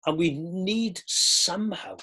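A man's voice: high-pitched, slow, husky. An older man with a Welsh accent, a former pastor talking earnestly over a video call from a book-lined study. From Wales. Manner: earnest, reflective, animated gestures.

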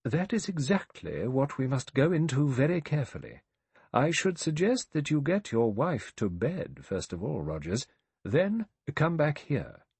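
A man voicing a dry, authoritative elderly judge in an audiobook, questioning with measured precision. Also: mid-range voice, wildly swooping pitch, darkish tone, slightly rough, no echo, voice pushed up, full character voice. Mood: stern, probing. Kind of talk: audiobook character voice.

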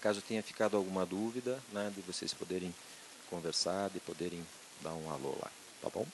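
A middle-aged Brazilian man with a very low voice gives a lively talk to a seated audience, speaking into a handheld mic. He keeps it conversational and checks in with the room often. A faint hiss sits under the recording. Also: a little fast. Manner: animated, conversational, full of tag questions. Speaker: physician giving a lecture. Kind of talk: lecture with audience Q&A. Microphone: handheld microphone.

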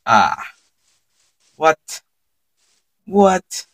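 A man's voice: a little high, very animated, drawn-out words, a bit bright, dry recording, studio quality. A young man giving casual gameplay commentary for his channel, chatting to viewers and reacting aloud as he plays. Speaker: gaming YouTuber.

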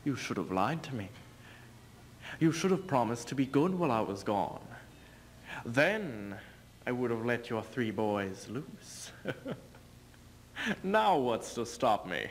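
A young man playing an aging, embattled English king in a theatrical stage reading, bitter and mocking, with deliberate, declamatory speech. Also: mid-range voice, big pitch swings. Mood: gloating. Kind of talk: theatrical readers theater duo performance. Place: stage in front of curtain. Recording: noisy amateur stage video sound.

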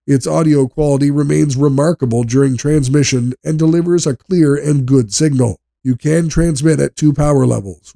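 A man reading scripted product review narration in a measured, explanatory way. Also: mid-range voice, creaky, warm tone, no echo, studio quality.

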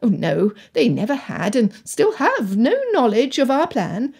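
A woman plays a shrewd governess in an audiobook story. She is composed and firm, choosing formal words with poise. The voice is a little husky. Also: on the high side, very animated, measured pace, darkish tone, pitched above the performer's own voice, exaggerated voice. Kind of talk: dramatised audiobook dialogue.

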